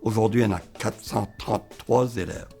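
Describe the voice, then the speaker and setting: A man's voice: on the low side, brisk, creaky, dark tone, soft background music. A man, speaking in a documentary interview about schools, hesitant with many fillers.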